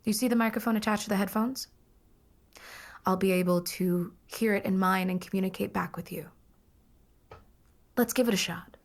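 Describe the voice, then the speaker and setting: An actress plays a female dream therapist in a horror podcast drama. Her voice is husky and breathy, with a gravelly fry at the edges. She guides and reassures in an earnest, coaxing way. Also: medium pitch, fairly even intonation, moderate pace, pauses often, close to an everyday voice. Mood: instructing. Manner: coaxing, guiding. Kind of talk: psychological horror audio drama acting.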